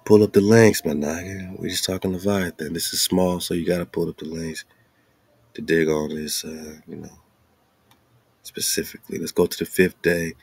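A man's voice: deep voice, level tone, normal speed, gravelly, a little mellow, husky, dry recording. A man hosting a show, reading aloud and commenting casually with informal, slangy asides. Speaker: radio show host.

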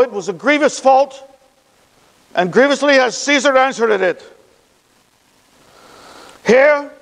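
In a long, reverberant hall, an impassioned Roman funeral orator in a classical stage monologue. His voice sits extremely high for a man and moves at a slow, deliberate pace. He declaims with weighted pauses, mixing grief with bitter irony. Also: full character voice. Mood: measured, ironic.

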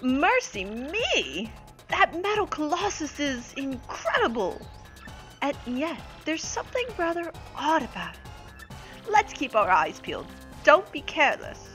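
A woman's voice: high-pitched, big pitch swings, measured pace, fairly bright tone, full character voice. A woman voicing a grand, theatrical lady spirit in a video game fan dub, haughty, refined and melodramatic. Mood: awed, cautious. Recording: game music behind.

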